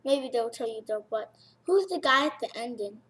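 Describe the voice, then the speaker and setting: High-voiced female in casual chat. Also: animated, normal speed, fairly bright tone.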